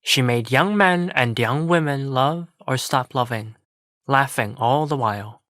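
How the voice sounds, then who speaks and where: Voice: mid-range voice, a little slow, a bit bright, no room sound, clean studio sound, lightly acted. An actor playing a storybook narrator of a fairy tale, in scripted stage narration. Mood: narrating.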